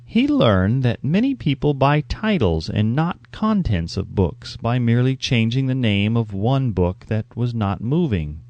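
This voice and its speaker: A man's voice: medium pitch, big pitch swings, measured pace, few pauses, a little mellow, no echo, highs cut off. A man reading a scripted audiobook as narrator, with an emphatic, instructive delivery.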